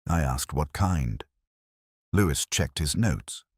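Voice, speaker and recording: Very deep-voiced male mystery narrator. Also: lively intonation, slightly rough, dry recording, clean studio sound. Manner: measured, melancholy, solemn delivery. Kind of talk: first-person literary mystery audiobook narration.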